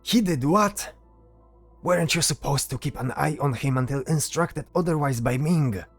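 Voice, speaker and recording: Man playing a husky, stern temple brother. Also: on the high side, normal speed, soft background music. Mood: reproachful. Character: stern, reproachful temple brother guarding forbidden knowledge. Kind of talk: fantasy audio drama acting.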